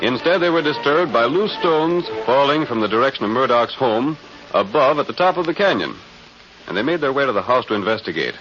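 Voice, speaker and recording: A man's voice: mid-range voice, lively intonation, a little fast, soft background music, close to an everyday voice. A man narrating a western radio serial as a dramatic announcer, declaiming formally in a vintage scripted style. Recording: muffled vintage radio recording, faint hiss.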